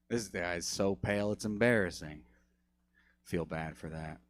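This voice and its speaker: A man's voice: deep voice, a little slow, no echo. A man opening his stand-up set with upbeat, enthusiastic energy on a comedy theater stage.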